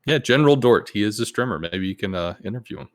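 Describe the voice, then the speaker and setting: A man's voice: lively intonation, quick pace. Laid-back, cussing male podcast host. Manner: laid-back, profane, acts out quoted players in sudden high outbursts. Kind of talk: casual gaming chat.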